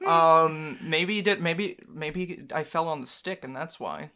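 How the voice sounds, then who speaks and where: A man's voice: fairly high pitch, takes it slowly, bright, forceful tone. A man co-hosting a let's-play, joking casually in a goofy, self-mocking way while he plays. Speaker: co-host of a let's-play show. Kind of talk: casual joking banter while playing a game.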